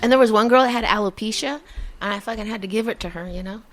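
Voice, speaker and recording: A brash woman with a somewhat high voice tells stories, swearing freely. She laughs easily and talks in a blunt, unfiltered way. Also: fast. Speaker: comedian guest. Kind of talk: casual storytelling.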